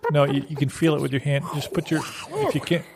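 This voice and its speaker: A man playing a fussy, halting husband who gives fretful, stammering instructions in an improvised comedy sketch. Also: a little high, very animated, creaky, background noise. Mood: fretful, insistent. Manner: fretful, stammering, self-interrupting. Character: fussy, halting husband coaching someone on tuning an old TV.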